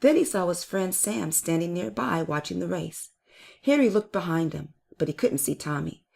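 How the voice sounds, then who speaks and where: A woman hosting and narrating a children's read-aloud story with expressive, emphatic delivery. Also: on the low side, normal speed, creaky, breathy edge. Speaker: storyteller and channel host.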